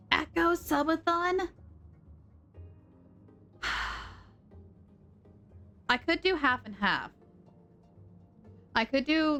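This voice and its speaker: Chatty woman streamer thinking aloud. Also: mid-range voice. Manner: chatty, rambling, thinking out loud with fillers. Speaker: game streamer. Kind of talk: casual live-stream chat.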